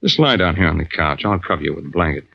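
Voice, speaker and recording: Steadfast low-voiced navy commander, old radio drama. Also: male voice, lively intonation, quick pace, creaky, heavily acted. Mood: soothing. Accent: American. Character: steadfast, worried navy commander, middle-aged husband and father. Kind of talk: old-time American radio drama acting. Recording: noisy, dull old radio recording.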